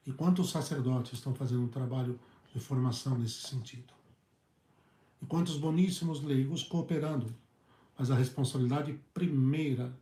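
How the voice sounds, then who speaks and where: A hoarse male priest with a Brazilian Portuguese accent, preaching earnestly in halting phrases and often repeating his words. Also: medium pitch, mellow. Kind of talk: preached talk on a video channel. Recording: echoey room.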